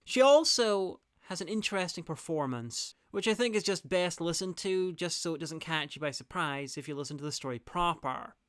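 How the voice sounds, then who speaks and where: Smooth, highish-voiced male review narrator. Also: expressive, normal speed, hardly any pauses, a bit bright, no echo. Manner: dry, wry asides. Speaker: video reviewer, host. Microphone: close, dry voice-over mic.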